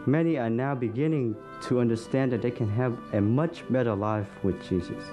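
A man's voice: mid-range voice, moderate pace, music in the background. A man reads a church planter's testimony as a scripted voice-over in a plain, sincere way.

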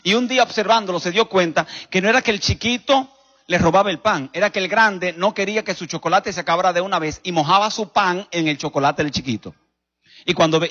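A man with a Dominican accent preaching a sermon as a pastor, telling stories emphatically with rhetorical questions and dramatic pauses. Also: sits high, sharp tone, husky. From Dominican Republic. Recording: dull recording in a reverberant hall.

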